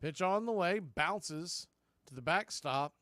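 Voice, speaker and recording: A man's voice: on the high side, animated, slow pace, a bit bright, dry recording, clean studio sound. A man doing radio baseball play-by-play as the announcer, speaking in short, clipped phrases.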